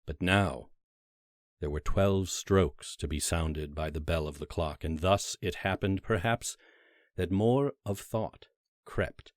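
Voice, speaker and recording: Deep-voiced man narrating dramatically, close and dry. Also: no room sound. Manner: measured, theatrical phrasing with dramatic pauses and hushed drops. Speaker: narrator reading a classic horror story aloud. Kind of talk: dramatic literary audiobook narration.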